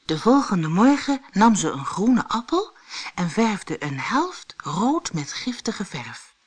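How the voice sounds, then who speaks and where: Female fairy-tale narrator: slow, husky, expressive, dramatic scripted reading. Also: medium pitch. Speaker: storyteller.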